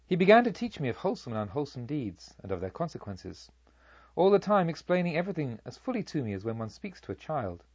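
Animated, husky male audiobook narrator. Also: mid-range voice, dry recording, dull audio. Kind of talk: expressive scripted literary narration.

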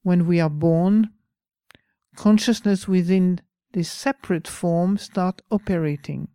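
Woman with a French accent, meditation teacher, slow and mellow, dry close sound. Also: lowish voice, clean tone, dry recording. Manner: slow, pausing, gentle. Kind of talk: dharma talk.

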